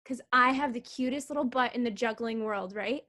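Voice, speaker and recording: A woman's voice: fairly high pitch, fairly quick, sharp tone. A woman playing a brash, mocking circus juggler in a staged play reading, with slangy teasing talk. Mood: needling. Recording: video call.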